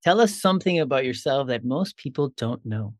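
A man's voice: medium pitch, animated, brisk, no echo, clean studio sound. A man hosting an interview podcast in a conversational style. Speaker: podcast host.

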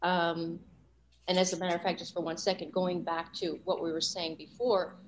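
A woman's voice: on the low side, level tone, moderate pace, a little hiss or hum. Earnest woman guest reflecting candidly. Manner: earnest, reflective, many "you know" asides. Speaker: voice-over coach and guest. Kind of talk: candid interview talk.

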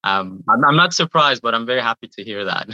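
A man's voice: medium pitch, animated, fairly quick, pushed and bright, no room sound, highs cut off. A man in his thirties, born in Iran, chatting in an interview and often repeating words as he talks. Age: 30s. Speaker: guest, YouTuber. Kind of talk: casual interview answers.

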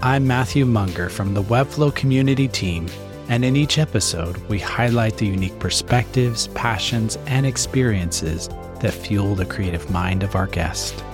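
A man hosting a podcast, reading a measured scripted intro and then asking interview questions. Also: on the low side. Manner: measured, smooth presenter delivery. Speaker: podcast host. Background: music under the intro.

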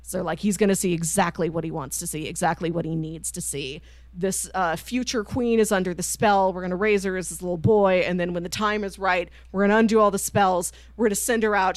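A woman co-hosting a book podcast in casual conversation, telling stories in an animated, informal way. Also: lowish voice, a little fast, dry recording. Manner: animated storyteller, acting out quoted lines informally. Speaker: podcast co-host reviewing books.

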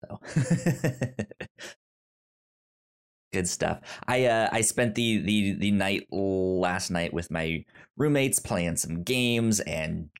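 Man, podcast co-host, slow unhurried talk, rambling, laughs a lot. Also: low voice, clean tone. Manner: relaxed, rambling, frequent restarts and laughs. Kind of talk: casual chat.

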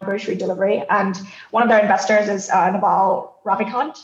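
A woman from Thailand speaking as a podcast guest, explaining her thoughts in a reflective, halting, conversational way. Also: mid-range voice, steady tone, roomy sound, dull audio. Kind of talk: conversational interview answers.

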